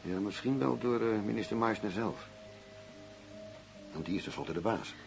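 Undercover police detective, lowish voice, sardonic, stubborn, embittered, radio drama. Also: male voice, normal speed, creaky, over music. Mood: weary, stubborn. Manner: indignant, sardonic, plain-spoken.

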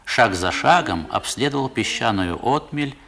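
An actor playing a grown man recalling his boyhood, narrating a 1960s radio drama in a measured, literary way with dramatic pauses. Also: medium pitch, lively intonation, bright, forceful tone. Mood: reflective.

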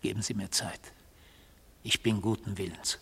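An actor playing a brooding, defiant defendant who tells a fateful story in a radio play, hoarse and deliberate, with poetic insistence. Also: male voice, lowish voice, fairly even intonation, a bit bright, heavily acted. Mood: reflective, intense. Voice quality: hoarse, gravelly. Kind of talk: literary German radio play, monologue narration.

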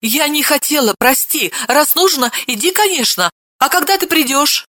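A timid, frightened wife, put on by a male narrator, speaks in a high, lively voice. She sounds apologetic and eager to please. The voice is bright and dry. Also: unhurried, no room sound, heavily acted.

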